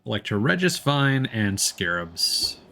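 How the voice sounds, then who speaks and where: Male game streamer, lower register, casual and rambling, thinking out loud over soft background music. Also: sing-song, a little slow, gravelly. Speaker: livestreamer playing a game. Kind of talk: casual gaming stream chat.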